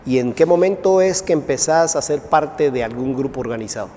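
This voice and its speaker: A man with a Guatemalan Spanish accent hosting a talk show interview, asking questions in a measured, thoughtful way with frequent fillers. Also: mid-range voice, animated, warm tone, long reverb tail, a little hiss or hum.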